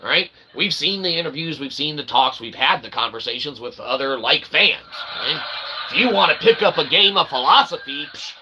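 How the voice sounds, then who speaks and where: A man with a slightly high voice chats over clearly noisy ambience. He rambles casually like a livestream host, poking fun at himself and prone to loud, excitable exclamations. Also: brisk. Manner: refers to himself as "we"; self-deprecating.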